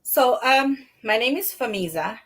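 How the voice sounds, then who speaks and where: A woman's voice: fairly high pitch, lively intonation, unhurried, fairly bright tone. A woman from Fiji, a guest talking in a casual live interview over a video call, friendly and rambling, laughing often. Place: home in Sydney, afternoon.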